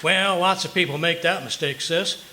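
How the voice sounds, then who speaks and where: A man's voice: a little high, normal speed, sharp tone. A man playing a bumbling, self-mocking but good-natured husband in an old-time radio comedy, blustering and easily flustered. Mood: softening, kindly. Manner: blustering, rattles off lists, flustered stammers. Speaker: amateur radio comedy actor. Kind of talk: old-time radio comedy acting before a live audience. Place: live performance at an RV resort. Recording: live stage recording, background noise.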